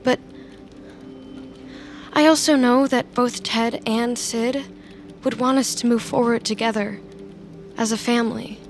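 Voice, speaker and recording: A woman playing a scheming, sardonic office climber in an audio drama, with dry and deliberate delivery. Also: fairly high pitch, fairly even intonation. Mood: hesitant, earnest. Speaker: protagonist narrating inner thoughts. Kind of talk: audio fiction acting with inner monologue. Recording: music under the voice.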